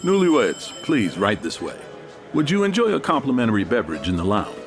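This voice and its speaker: Animated, fussy hotel concierge. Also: male voice, fairly low pitch, fairly quick, faint music behind. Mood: eager, welcoming. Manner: overly formal, eager to please. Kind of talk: audio fiction acting.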